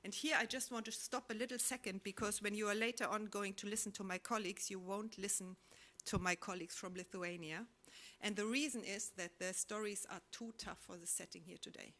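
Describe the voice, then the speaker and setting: A woman's voice: medium pitch, fairly even intonation, bright, forceful tone. Reflective woman asking rhetorical questions. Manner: halting, with frequent restarts. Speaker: conference speaker. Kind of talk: public talk sharing collected testimonies.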